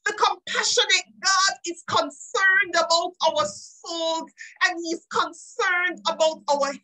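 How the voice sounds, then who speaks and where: A woman's voice: very high-pitched, steady tone, slow, sharp tone, poor audio. A woman preacher delivering an impassioned live sermon, pleading and emotional, tearful, repeating phrases for emphasis.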